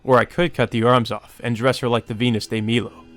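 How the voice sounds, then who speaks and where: A man voicing a cold, methodical killer narrating calmly and deliberately in a visual novel. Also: mid-range voice, faint music behind, lightly acted. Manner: calm, deliberate. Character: cold methodical male killer musing over his ritual.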